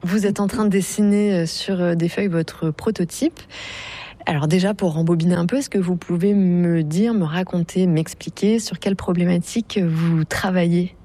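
Woman field reporter, mellow low voice. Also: steady tone, normal speed.

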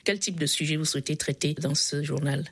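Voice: mid-range voice, steady tone, a little fast, husky, dry recording. Radio interviewer, mid voice, direct, dry studio. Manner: brief, direct questions.

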